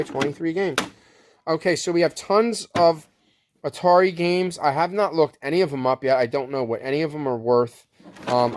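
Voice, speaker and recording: A man's voice: fairly high pitch, noisy background. A man chatting casually and unscripted as he shows resale finds, rambling and thinking aloud in an unsure way. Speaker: online reseller showing thrift finds. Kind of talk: casual unscripted show-and-tell chat.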